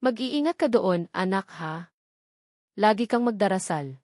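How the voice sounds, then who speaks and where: A woman narrator voicing a gentle, devout, ailing mother in an audio drama, tender and concerned. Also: medium pitch, lively intonation, unhurried, no room sound, studio quality, natural voice. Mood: worried.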